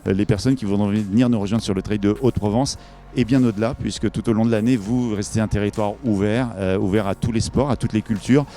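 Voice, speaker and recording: A man with a lowish, very mellow voice hosts a promotional interview. He improvises in a halting way, searching for words, over faint background music. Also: steady tone. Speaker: interviewer, event presenter.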